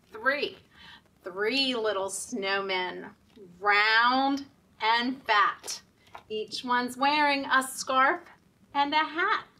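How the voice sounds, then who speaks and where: A woman leading story time for young children in a sing-song, deliberate, encouraging way, often asking the children questions. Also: high-pitched, sharp tone, recorded from a distance.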